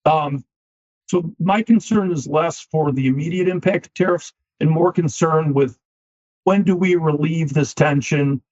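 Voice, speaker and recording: A man's voice: on the high side, darkish tone. Man with a scratchy voice, talking business. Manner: measured, repeats words when starting sentences. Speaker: equipment finance CEO, guest. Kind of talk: business podcast interview. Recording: dull lo-fi sound.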